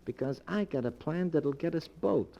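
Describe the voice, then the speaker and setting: An American actor playing a cocky, bullying small-time crook in a 1940s radio thriller, talking in tough, insistent slang. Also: male voice, a little high, animated, normal speed, creaky, dark tone, muffled recording. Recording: old 1940s radio recording, music behind.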